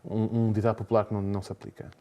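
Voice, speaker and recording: A man's voice: lowish voice, flat delivery, racing, vocal fry, lo-fi sound, crowd noise. A man with a European Portuguese accent who co-hosts a podcast and asks rambling, joking questions, often restarting his sentences. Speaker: podcast co-host. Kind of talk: casual conversation.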